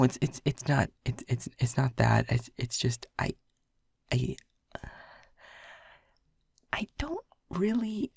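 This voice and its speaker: Stammering, husky, nervous young man, acted. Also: on the high side, darkish tone, no echo, studio quality, exaggerated voice. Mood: anxious, flustered. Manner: stammering, flustered, breathy nervous laughs. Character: nervous, earnest young male air elemental, shy gamer friend. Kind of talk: intimate audio roleplay addressed to the listener.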